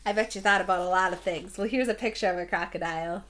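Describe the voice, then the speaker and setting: A woman's voice: fairly low pitch, steady tone, quick pace, fairly bright tone. A woman teacher introducing a read-aloud for young children, explaining things simply and asking the listener questions. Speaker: elementary school teacher.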